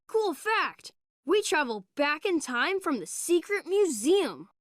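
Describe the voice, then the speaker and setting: Adventurous, earnest kid explorer for animation, high and animated, inviting delivery. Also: female voice, takes it slowly, dry recording, clean studio sound, heavily acted. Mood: eager, inviting. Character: confident, adventurous child time-traveller. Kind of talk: children's cartoon and game voice lines.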